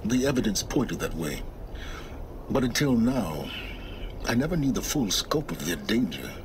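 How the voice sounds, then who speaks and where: An actor playing a cold, secretive middle-aged male scholar of ancient ruins, measured and condescending. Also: fairly low pitch, big pitch swings, noisy background. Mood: explaining. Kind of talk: video game cutscene acting.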